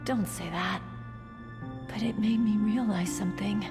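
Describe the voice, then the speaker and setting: A woman playing a wounded young soldier in a video game, tough yet tender, speaking haltingly and emotionally. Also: medium pitch, fairly even intonation, lightly acted. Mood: tearful tenderness. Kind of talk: dramatic video game cutscene acting. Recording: music behind the voice.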